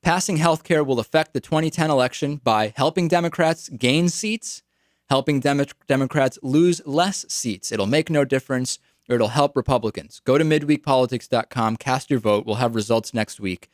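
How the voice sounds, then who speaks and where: A man's voice: medium pitch, normal speed, dry recording, studio quality. A man with an American accent giving political commentary as a radio talk show host.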